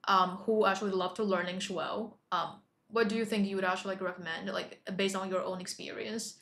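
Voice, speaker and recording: A woman's voice: medium pitch, steady tone, a little fast, a bit bright. A woman co-hosting a live stream, interpreting for the teacher and asking questions in a chatty way, full of fillers. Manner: chatty, filler-heavy, with many "like" and "um". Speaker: co-host and interpreter.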